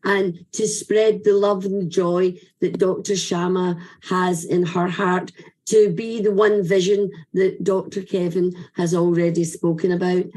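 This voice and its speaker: Woman, Scottish accent, lowish pitch, heartfelt thanks speech, effusive, uplifting manner. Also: darkish tone. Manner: earnest, effusive, inspirational. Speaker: speaker giving a vote of thanks.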